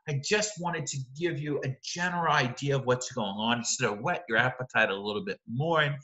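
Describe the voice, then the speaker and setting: A man's voice: medium pitch, bright, forceful tone, reverberant, low-quality recording. A man in his forties, a teacher giving a recorded lecture over slides in a conversational way, often checking in with "right?" and restarting his sentences.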